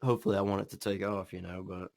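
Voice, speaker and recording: A man's voice: deep voice, fairly even intonation, very fast, vocal fry, darkish tone. A man hosting a podcast, chatting in a laid-back, slangy way. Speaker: podcast host. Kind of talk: casual interview.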